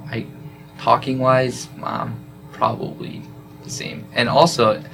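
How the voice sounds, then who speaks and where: A man's voice: mid-range voice, slow pace, vocal fry, faint music behind. A young man being interviewed about his study abroad semester, talking casually with many filler words. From South Korea. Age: young adult. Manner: relaxed, lots of "like" fillers. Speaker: study abroad student guest.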